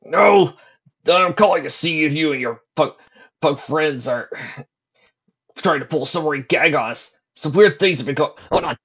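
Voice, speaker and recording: A woman's voice: low voice, normal speed, fairly bright tone, breathy edge, lo-fi sound, voice pushed up. A woman playing a suspicious, demanding older man, a grim great-uncle in a story. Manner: tense, demanding, muttering.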